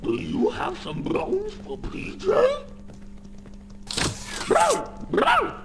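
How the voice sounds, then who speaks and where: A man voicing an excitable, hungry pug-caterpillar toy in a game, childlike and eager. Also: very high voice, very slow, vocal fry, full character voice. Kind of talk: cartoon game character lines. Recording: echoey hall from far off, noisy.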